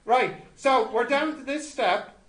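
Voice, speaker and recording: A man's voice: very high-pitched, takes it slowly, a bit bright. A man tutoring maths informally, thinking aloud as he works sums, self-deprecating and often asking checking questions. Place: indoor tutorial room with students. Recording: echoey room with noticeable noise.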